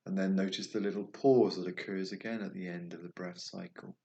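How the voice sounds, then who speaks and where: A man guiding a meditation, speaking slowly and softly, at times dropping toward a whisper. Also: deep voice, steady tone, gravelly, dull audio. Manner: slow, soft and soothing, trailing off toward a whisper. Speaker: meditation guide. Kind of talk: guided meditation.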